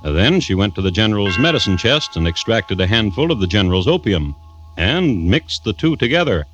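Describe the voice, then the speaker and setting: An actor playing a wry storyteller, narrating a classic radio drama in scripted style. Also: male voice, lowish voice, very animated, a little fast. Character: wry, unhurried male storyteller of a dark tale. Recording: dull old radio recording. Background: music.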